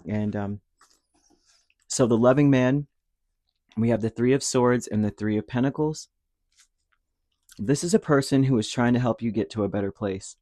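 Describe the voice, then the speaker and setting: A man giving a casual spoken tarot reading, rambling and reassuring, his throat dry and a little hoarse after a cold. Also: medium pitch, normal speed, long pauses, dark tone, dry recording. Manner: rambling, reassuring, with many fillers and restarts. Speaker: tarot reader.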